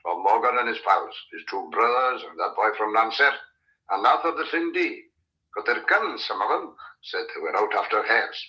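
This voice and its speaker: Wry old farmhand, lively and bright. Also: male voice, lowish voice, moderate pace, low-quality recording. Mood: gossipy, knowing. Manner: folksy, colourful. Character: old rustic farmhand, wry, plain-spoken and observant. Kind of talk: vintage radio drama acting.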